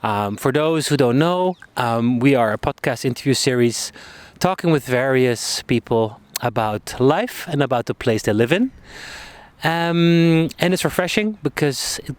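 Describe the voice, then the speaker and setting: A man hosting a podcast interview on an outdoor bench, friendly and hesitant, with many pauses and fillers. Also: mid-range voice, expressive. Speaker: podcast host. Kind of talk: casual interview.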